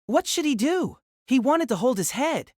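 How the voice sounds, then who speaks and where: A woman's voice: high-pitched, big pitch swings, normal speed, darkish tone, dry recording, clean studio sound. A woman narrating a character's inner thoughts in an audio drama, thoughtful and wondering.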